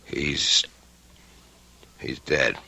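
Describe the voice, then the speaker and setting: A man's voice: drawn-out words, sharp tone, full character voice. An actor playing an earnest, anxious man protecting the woman he loves, with urgent old-time radio drama delivery. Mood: shaken.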